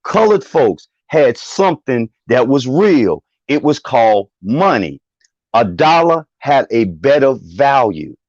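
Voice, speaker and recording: A man's voice: mid-range voice, very animated, takes it slowly, darkish tone, dry recording, highs cut off. A man calling in to a discussion, defiant and profane, repeating himself for emphasis. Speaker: caller joining the discussion. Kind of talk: opinionated call-in commentary.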